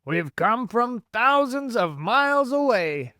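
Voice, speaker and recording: A man's voice: high voice, very animated, normal speed, fairly bright tone, dry recording, clean studio sound, voice pushed up. A man voicing a huge, cheeky, grinning centipede in a storybook, jaunty and theatrical. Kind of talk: storybook character acting.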